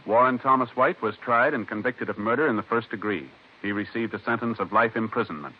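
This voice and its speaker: A man announcing formally in 1950s radio style, measured and official. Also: fairly low pitch, husky. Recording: muffled old radio broadcast. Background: music.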